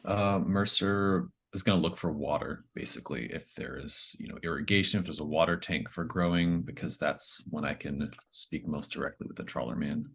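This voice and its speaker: Guy playing a tabletop game, super deep gravelly voice, casual. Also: moderate pace, hardly any pauses, a bit bright. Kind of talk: casual, describing planned character actions.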